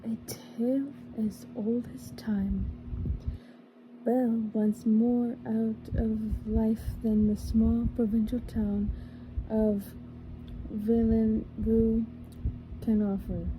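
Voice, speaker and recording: A woman reading a storybook aloud in a soft ASMR style, slowly and carefully, sometimes slipping into a whisper and correcting small slips as she goes. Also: a little high, little pitch movement, noisy background. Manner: drops to a whisper at times. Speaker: ASMR reader.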